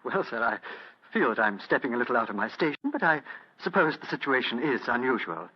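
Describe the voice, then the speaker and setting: Smug, murderous English butler, old radio mystery: formal courtesy over menace, swooping delivery, muffled recording. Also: male voice, mid-range voice, big pitch swings, a little fast, slightly rough. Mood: cautious, courteous. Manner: formal, elaborately polite menace. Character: coldly polite, smug English butler turned murderous thief. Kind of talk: 1940s radio mystery acting.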